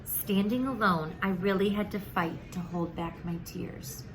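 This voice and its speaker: A woman reading a children's story aloud in an expressive way, acting out the dialogue. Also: medium pitch, a little slow, faint music behind, poor audio. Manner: animated, acts out the dialogue. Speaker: teacher reading a picture book aloud.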